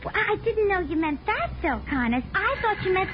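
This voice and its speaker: A woman's voice: extremely high pitch, sing-song, normal speed. An actress playing a brassy, feisty woman who stands her ground, in 1950s radio drama style. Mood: playing innocent. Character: brassy, evasive working-class young woman; bossy protective wife. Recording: muffled, slightly noisy 1950s radio recording.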